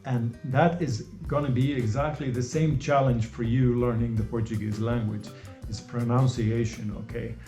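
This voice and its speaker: Mellow-voiced man. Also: mid-range voice, steady tone, normal speed, reverberant, soft background music. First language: Norwegian. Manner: loose and repetitive, full of "you know" and restarts. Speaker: host. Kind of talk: casual advice monologue.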